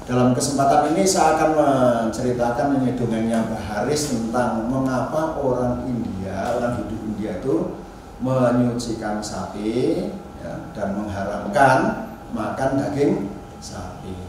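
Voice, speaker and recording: A man giving an informal academic lecture as a university teacher, halting and restarting, often adding tag questions. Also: mid-range voice, darkish tone. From Indonesia. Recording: very echoey room, distant mic, faint noise.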